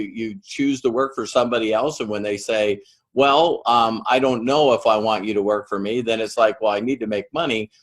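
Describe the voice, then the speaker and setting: A middle-aged man hosting an interview, talking in an excited, rambling way with many fillers. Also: mid-range voice. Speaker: host.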